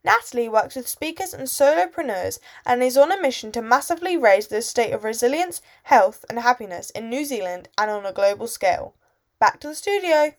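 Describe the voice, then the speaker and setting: A woman co-presenter reads a guest introduction aloud. Her high voice swoops and bounces, which gives the reading a lively, animated lilt. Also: brisk.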